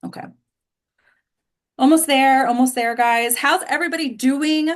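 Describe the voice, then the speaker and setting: Female host for an online actors' meetup: American, fairly high voice, effusive and casual. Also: normal speed. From Texas, United States. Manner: chatty, effusive. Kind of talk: casual welcoming chat to a group. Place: online video call. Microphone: video call.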